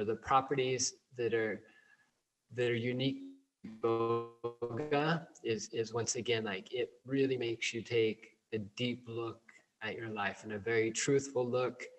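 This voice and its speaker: Chill guy on a call, mid pitch, lots of um and you know as he answers. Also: unhurried, poor audio. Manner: relaxed, many fillers like um and you know. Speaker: guest, plant medicine provider. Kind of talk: interview answers over a call.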